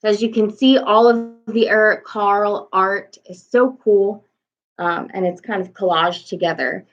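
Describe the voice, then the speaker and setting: A woman's voice: mid-range voice, steady tone, a little slow. A young adult woman reading a children's bedtime story aloud expressively, indoors at home into a webcam. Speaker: storybook reader. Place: small room at home, indoors. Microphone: webcam at arm's length. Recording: slightly dull home webcam sound.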